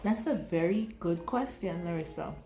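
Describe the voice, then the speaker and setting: A woman with a Grenadian accent, a visiting author who reads a story aloud to a class and then gently answers the children's questions. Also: lowish voice, lively intonation, moderate pace, echoey room, over music. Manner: gentle, encouraging, dramatic when reading.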